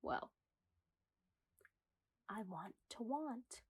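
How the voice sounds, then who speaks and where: A woman's voice: fairly high pitch, very animated, extremely slow, breathy edge, heavily acted. An actress playing an anxious, self-deprecating woman in existential crisis, narrating a confessional radio comedy monologue with wry, dramatic delivery. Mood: quiet, wistful.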